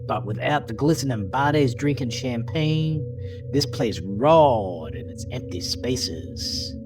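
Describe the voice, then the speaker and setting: A man narrating a horror story in a theatrical, deliberate, brooding way, as scripted audio drama. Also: mid-range voice, expressive, over music.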